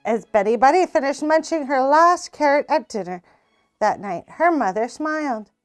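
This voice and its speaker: A young woman reading a children's picture book aloud in a small indoor room, slow and expressive. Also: high-pitched, faint music behind. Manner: slow, expressive read-aloud with character voices. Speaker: storytime reader.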